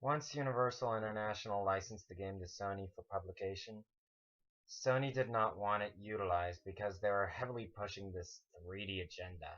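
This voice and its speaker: A man's voice: on the low side, fairly quick, a bit bright. A man reading out an explanatory statement as scripted narration, with a dull lo-fi sound.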